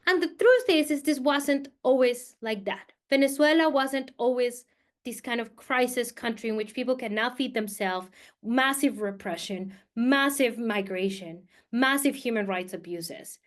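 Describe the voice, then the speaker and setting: High-voiced woman from Colombia lecturing. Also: unhurried. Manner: conversational, with fillers and emphatic repetition. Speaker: guest speaker, political scientist. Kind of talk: academic presentation to teachers. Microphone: video call.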